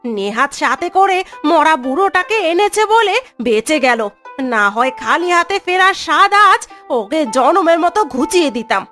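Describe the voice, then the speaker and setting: A woman playing a bitter, sharp-tongued cartoon wife, scolding and complaining sarcastically. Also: high-pitched, animated, normal speed. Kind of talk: Bengali moral-story cartoon acting. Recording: music under the voice.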